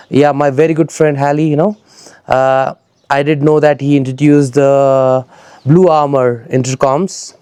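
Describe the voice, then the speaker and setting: Indian man, mellow dry-sounding voice. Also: on the high side, clear, resonant tone, no room sound. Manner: conversational, addressing viewers directly as guys. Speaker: motorcycle gear reviewer and vlogger. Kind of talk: casual product review to camera.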